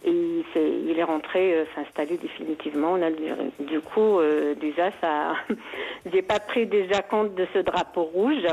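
A woman's voice: fairly low pitch, moderate pace, a little mellow, slight background noise, rough recording. A woman from Algeria calling in to tell a personal story, hesitant and full of restarts. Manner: hesitant, many restarts and fillers. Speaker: caller.

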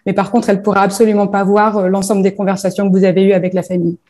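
A woman from northern France answers an interviewer's questions in a mellow, warm voice. She speaks in a halting way, with many pauses and fillers, as she explains her platform. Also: medium pitch, level tone. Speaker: founder of a bereavement platform.

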